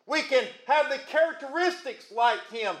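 A man preaching a sermon in a booming voice, folksy and calling out to the congregation. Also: expressive, moderate pace, fairly bright tone, roomy sound. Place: church.

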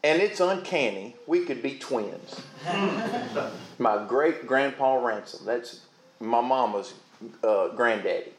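A man preaching a sermon in a booming voice, folksy and calling out to the congregation. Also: lively intonation, slow pace, roomy sound, a little hiss or hum, low-quality recording. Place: church.

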